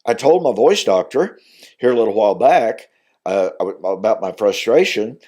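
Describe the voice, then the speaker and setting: A man's voice: lowish voice, big pitch swings, moderate pace, dark tone. An older man, speaking as a minister teaching on video, his voice weakened from recovering after losing it, earnest and growing emphatic on key points. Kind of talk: Bible teaching monologue.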